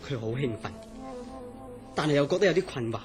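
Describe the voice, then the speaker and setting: An actor playing an earnest, mysterious scientist in a horror radio drama, telling his story in a serious, deliberate way. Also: mid-range voice, expressive, lightly acted. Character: earnest, mysterious scientist recounting uncanny dreams. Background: background music.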